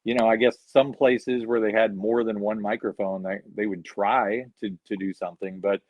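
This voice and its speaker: A man's voice: lowish voice, fairly even intonation, normal speed, no room sound. A man guest on a video-call interview, telling rambling anecdotes full of "you know". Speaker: guest musician. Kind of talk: relaxed storytelling interview. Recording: slightly dull lo-fi sound, dry.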